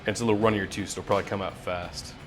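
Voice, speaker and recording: A man's voice: fairly low pitch, steady tone, racing, over music. A man hosting a casual, joking food-challenge interview, speaking as the interviewer. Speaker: host interviewer.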